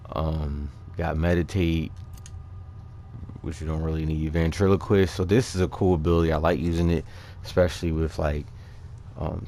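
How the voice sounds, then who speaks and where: A man's voice: a bass voice, dark tone, a little hiss or hum. A man hosting a gaming video, talking through a character build in an informal, conversational and somewhat hesitant way. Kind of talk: casual game build commentary. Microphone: voice-over on a microphone over game capture.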